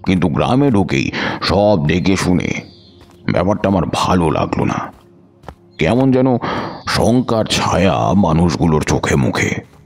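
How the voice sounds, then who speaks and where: A man playing a calm, devout wandering tantric mystic who narrates his own story in a measured, reflective way for an audio drama. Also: sits low, exaggerated voice. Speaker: first-person narrator.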